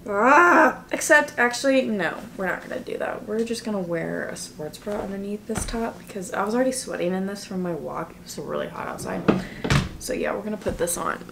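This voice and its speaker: Young female vlogger, chatty and casual, mid-pitched, phone-at-arm's-length sound. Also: expressive, creaky, noisy background. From Texas, US. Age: young adult. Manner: chatty, drawn-out, with frequent "like" and some whispered asides. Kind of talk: casual vlog chat to camera. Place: bedroom at home, then car. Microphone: camera at arm's length.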